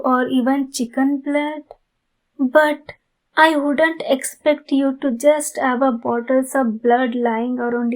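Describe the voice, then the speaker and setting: A woman playing a courteous, earnest female vampire in an ASMR roleplay, speaking formally to the listener with halting phrasing. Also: sits high, low-quality recording. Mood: polite. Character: polite, pleading female vampire in need of blood.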